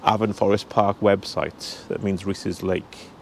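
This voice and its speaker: Male travel vlogger, 50s, casual walk-and-talk outdoors, lowish voice. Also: little pitch movement, unhurried, gravelly, a little mellow, slight background noise. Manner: chatty, talking straight to camera while walking. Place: outdoors on a cold hillside. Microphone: handheld camera at arm's length.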